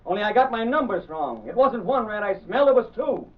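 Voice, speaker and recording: An actor playing a brash, sarcastic reporter with an American accent, wisecracking in 1930s radio style. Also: male voice, very high-pitched, animated, a little fast, background noise. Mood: defiant. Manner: wisecracking, slangy, defiant. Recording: dull, band-limited old radio recording.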